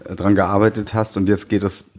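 Man, lowish pitch, podcast host, casual, halting, repeats words while thinking. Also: little pitch movement, a little mellow. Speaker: podcast host, musical maker.

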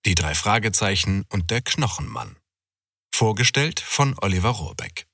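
A male announcer reads a scripted title introduction. His voice sits fairly low, yet it is bright and forceful, made for presenting. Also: lively intonation, normal speed, vocal fry, breathy edge, no room sound, clean studio sound.

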